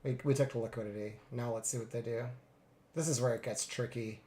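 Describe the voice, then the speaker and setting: A man getting over a cold, a little congested, narrating his live trades in a relaxed way with many fillers. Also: mid-range voice, a little fast, echoey room, a little hiss or hum. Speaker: futures day trader streaming live. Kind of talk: live trading commentary.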